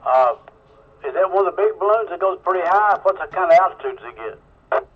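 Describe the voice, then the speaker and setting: A man's voice: high voice, animated, fairly quick. Phone-like radio voice, man hosting. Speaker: host and net controller of an amateur radio net. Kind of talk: casual ham radio chat. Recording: narrow tinny ham radio transmission.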